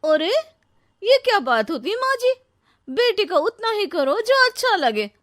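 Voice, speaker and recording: A woman voicing an indignant, shrill young daughter-in-law in a cartoon story. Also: sing-song, a little slow, fairly bright tone, full character voice.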